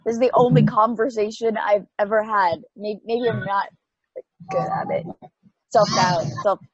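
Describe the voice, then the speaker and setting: A woman playing a newly awakened, anxious space probe in an amateur table read, halting, stammering and apologetic. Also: mid-range voice, animated, breathy edge, dry recording, highs cut off. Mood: bewildered, anxious.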